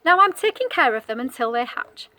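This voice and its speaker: A woman voicing proud, chirpy father fish in a storybook, with brisk, delighted emphasis. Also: sits high, big pitch swings, bright, forceful tone, exaggerated voice. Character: proud, chirpy male fish fathers minding their eggs.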